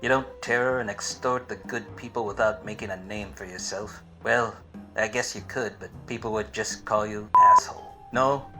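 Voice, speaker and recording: A man playing a wisecracking, obtuse private detective in a deadpan 1940s radio noir parody. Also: fairly low pitch, lightly acted. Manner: deadpan, hardboiled.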